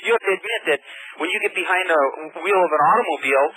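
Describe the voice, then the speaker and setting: A man calling a radio show by telephone, explaining his views in an earnest, halting, persistent way. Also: high voice. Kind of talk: live phone-in debate. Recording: faintly noisy radio phone-in call.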